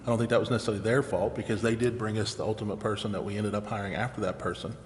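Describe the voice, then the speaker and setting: A man's voice: on the low side, steady tone, very fast. An American man giving matter-of-fact remarks as a council member in a public meeting. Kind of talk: deliberative public meeting discussion. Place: indoor council chamber. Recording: echoey meeting chamber with noticeable background noise.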